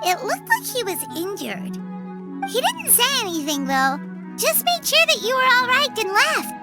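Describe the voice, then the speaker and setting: Squeaky, childlike little fairy companion. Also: female voice, huge pitch swings, unhurried, exaggerated voice. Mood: informative. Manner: refers to self in third person, perky. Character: small childlike chirpy fairy companion, female. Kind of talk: video game character acting. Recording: music playing behind the voice.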